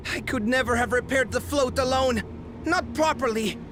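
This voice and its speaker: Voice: high-pitched, moderate pace, a bit bright, slight background noise. An actor voicing a small, earnest bird festival leader in a video game, speaking with impassioned, proud devotion. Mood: grateful resolve.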